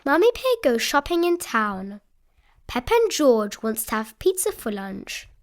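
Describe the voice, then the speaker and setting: High-voiced, animated woman reading to small children. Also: unhurried. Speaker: storybook reader. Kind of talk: expressive read-aloud narration for small children.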